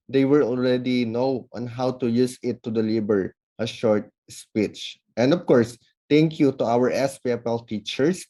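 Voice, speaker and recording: A man giving formal, measured feedback as a judge, working through numbered points. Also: medium pitch, mellow. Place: online event recording.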